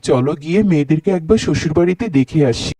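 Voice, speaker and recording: A man's voice: on the high side, fast talker, mellow, no room sound. A man voicing a worried, caring middle-aged father in a cartoon, speaking in an uneasy, earnest way. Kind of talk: Bengali cartoon voice acting.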